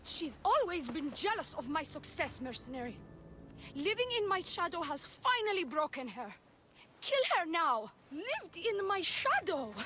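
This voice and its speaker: A high-voiced, imperious priestess. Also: female voice, sing-song, measured pace, sharp tone, heavily acted. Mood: desperate, commanding. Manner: formal, commanding, theatrical. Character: proud, imperious ancient Greek high priestess, fiercely dramatic. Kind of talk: video game cutscene acting.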